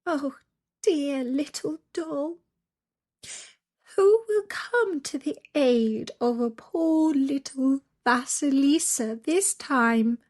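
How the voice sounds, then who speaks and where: Woman as a plaintive, high-voiced young fairy-tale girl. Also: expressive, very slow, a little mellow, exaggerated voice. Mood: tearful despair. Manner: plaintive, pleading.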